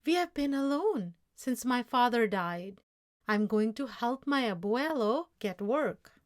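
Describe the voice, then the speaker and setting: A woman's voice: on the high side, expressive, a little slow, pitched above the performer's own voice. A woman voicing an eager, earnest young Mexican-American boy in a storybook read-aloud, pleading and insistent.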